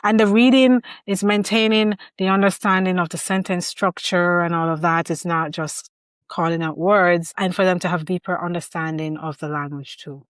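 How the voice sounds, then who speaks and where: A woman's voice: on the low side, fairly even intonation, normal speed, dry recording, clean studio sound. A woman hosting an interview, talking reflectively and sharing her own parenting experience. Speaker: podcast host. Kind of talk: conversational interview.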